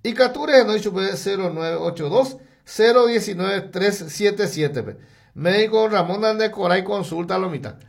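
A man with a Paraguayan accent who drops into Guarani, reading local ads on the radio in a rapid sing-song, listing goods and phone numbers. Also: animated, creaky. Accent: Paraguayan Spanish, mixing in Guarani. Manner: rapid, sing-song pitch and loudness swings, listing items.

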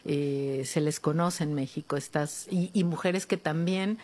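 A Mexican woman author in an interview, speaking reflectively with pauses and restarts, recorded in a museum. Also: deep voice, a little mellow. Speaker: author guest. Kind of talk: thoughtful interview conversation. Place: art museum in Madrid.

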